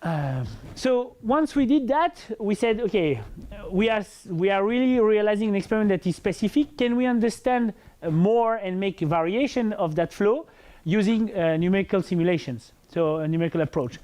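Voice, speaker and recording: A man's voice: high voice, lively intonation, moderate pace, darkish tone. A man with a French accent and a big, full voice gives an academic lecture. He speaks hesitantly, with many fillers and restarts. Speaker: researcher giving a lecture.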